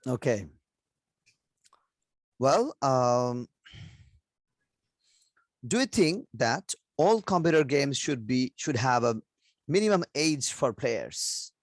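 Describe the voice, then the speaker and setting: A teacher acting as examiner, asking mock exam questions in a measured way with fillers. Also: mid-range voice, expressive, dry recording.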